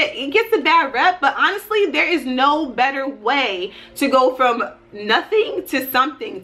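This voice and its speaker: High-pitched woman in her thirties, emphatic vlogger. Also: fairly bright tone. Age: 30s. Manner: emphatic, folksy, often checking in with "okay?" and "right?". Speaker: YouTuber and life and business coach. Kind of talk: casual motivational vlog talk to camera.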